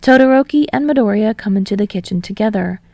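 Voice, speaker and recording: A woman's voice: medium pitch, animated, fast talker, dark tone, no room sound. A woman reading fiction aloud as an audiobook narrator, in a scripted storytelling style.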